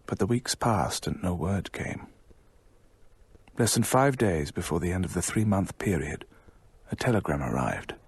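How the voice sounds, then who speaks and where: An actor playing a British storyteller, narrating a ghost story in a measured, suspenseful radio drama style. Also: male voice, sits low, lively intonation, slightly rough, no echo, full character voice.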